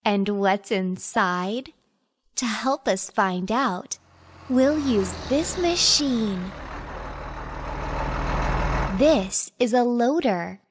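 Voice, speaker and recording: A woman's voice: on the high side, animated, drawn-out words, long pauses, background noise. A woman reading scripted educational narration for young children in short, simple, carefully separated teaching phrases.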